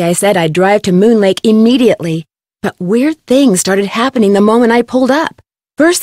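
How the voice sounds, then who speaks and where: A young American woman playing a curious, polite, plucky amateur detective in an adventure game, speaking in a clear, earnest way. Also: medium pitch, darkish tone, dry recording, studio quality, lightly acted. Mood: confiding, narrating. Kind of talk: scripted adventure game voice acting.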